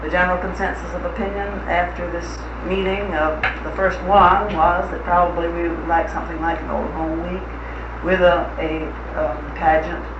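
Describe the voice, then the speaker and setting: A middle-aged American woman giving an informal advisory talk to a committee in a measured, halting way, recorded on 1960s tape. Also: on the low side, fairly even intonation. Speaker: guest speaker advising a community planning meeting. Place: echoey meeting room, steady noise behind. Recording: noisy muffled 1960s reel-to-reel tape, echoey room.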